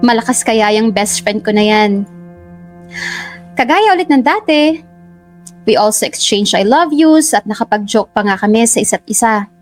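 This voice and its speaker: A cheerful young woman, voiced by an actress in a radio drama, a little high-pitched, chatty and earnest. She also narrates, over faint background music. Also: lively intonation, moderate pace, pauses often, close to an everyday voice. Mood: earnest narration.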